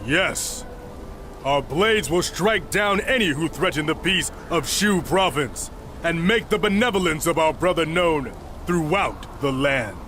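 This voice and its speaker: An actor playing a stern, honorable general of ancient China in a video game, speaking formally and resolutely. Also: male voice, on the high side, lively intonation, unhurried, a little hiss or hum. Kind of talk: video game dramatic acting. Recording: voice under loud music.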